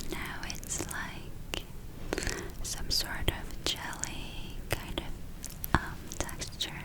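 A woman whispers throughout in a breathy, unvoiced hush. She speaks extremely slowly and gently, in short phrases with long pauses, as a spa attendant in an ASMR roleplay. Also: low-quality recording. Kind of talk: ASMR roleplay, soft personal-attention narration.

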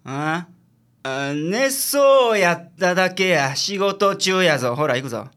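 ラジオドラマで、関西弁のぶっきらぼうで愚痴っぽい私立探偵を演じる男性。. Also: male voice, high voice, expressive, takes it slowly, fairly bright tone, heavily acted. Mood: sheepish, grumbling. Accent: Kansai. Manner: blunt, grumbling. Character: gruff, grumbling male private detective boss. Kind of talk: radio drama acting.

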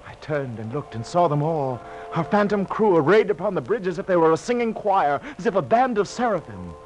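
Haunted sailor, high voice, wildly swinging pitch, anguished declamation, old radio drama. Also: male voice, very animated, a little fast, a little mellow. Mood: haunted, awed. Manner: theatrical, fervent, anguished declamation. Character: haunted, guilt-ridden old sailor retelling his doomed voyage. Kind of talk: 1940s radio drama acting, verse-like narration. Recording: noisy old 1940s radio recording.

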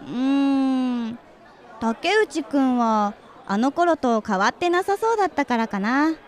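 Woman acting a high, lilting, friendly young woman. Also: expressive, measured pace, a faint crowd. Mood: chatty, candid. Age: 20s. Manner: chatty, casual, direct. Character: friendly, forward, sporty young woman, old classmate. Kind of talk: radio drama dialogue.

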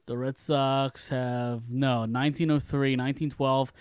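A man's voice: medium pitch, level tone, unhurried, smooth, no echo. A young American man in casual sports talk as a podcast co-host, blunt and loose in the way he speaks.

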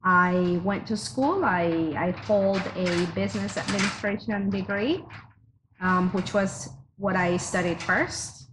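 A woman in her forties from Mexico, a teacher, talking through her background in a measured way with many fillers. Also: medium pitch. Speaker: teacher, interview guest. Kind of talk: casual interview. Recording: noisy background hiss.

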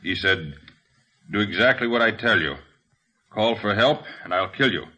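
An actor playing a shaken, earnest robbery witness in a 1950s radio drama, halting but emphatic. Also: male voice, fairly low pitch, lively intonation, pushed and bright. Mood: quoting sternly. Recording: dull old radio recording.

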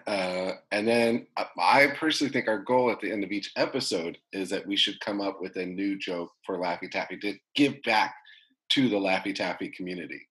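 A man's voice: low-pitched, brisk, a bit bright, low-quality recording. A man with a cold, sounding stuffed up, hosting a casual podcast and laughing often as he runs a joke game. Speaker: podcast host.

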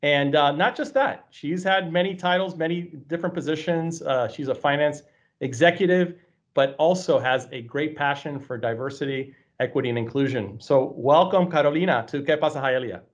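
A man's voice: fairly high pitch, moderate pace. A man hosting an interview show, a campus president, friendly and loose with fillers and repeated words. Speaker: host, college campus president. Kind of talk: hosted interview. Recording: slightly dull lo-fi sound.

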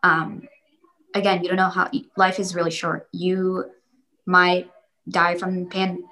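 A woman's voice: fairly low pitch, completely flat delivery, slow pace, bright, forceful tone. A young woman answering interview questions casually, rambling and repeating herself with many fillers.

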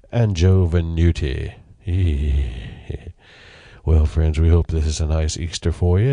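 A man with a very low voice and a dark, mellow tone hosts a radio program in an easygoing way. He talks slowly and leaves plenty of pauses. The sound is dry and close. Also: no room sound. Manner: easygoing, with frequent uhs. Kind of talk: casual on-air chat.

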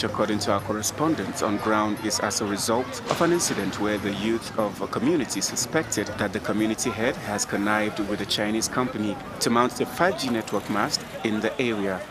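An actor playing a formal Nigerian radio newsreader delivering an urgent bulletin. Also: male voice, on the low side, noisy background, close to an everyday voice. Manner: formal, announcing. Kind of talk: news bulletin.

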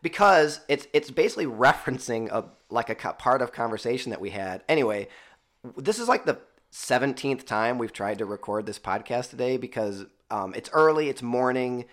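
A man in his thirties hosting a casual podcast, hoarse and raspy from a cold, rambling and stopping to start over. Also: medium pitch, expressive, fairly quick, fairly bright tone. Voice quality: froggy, raspy. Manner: rambling, self-interrupting, laughs often. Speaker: podcast host. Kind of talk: casual chat.

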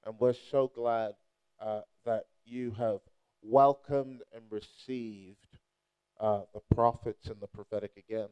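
A man's voice: mid-range voice, steady tone, a little slow, smooth, highs cut off. A young adult man from Nigeria preaching a playful, charismatic sermon and rousing the crowd with call-and-response.

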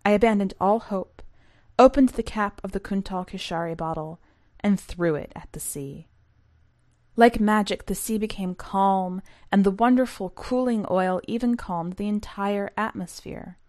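A woman's voice: medium pitch, darkish tone, dry recording, studio quality, lightly acted. A woman reading as an earnest balding father who recounts a perilous sea voyage in dramatic storytelling.

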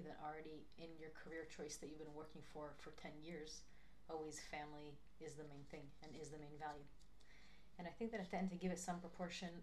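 Earnest low-voiced young woman chatting. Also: a little hiss or hum, rough recording. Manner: earnest, thinking out loud, lots of "like". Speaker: podcast co-host. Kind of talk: casual chat.

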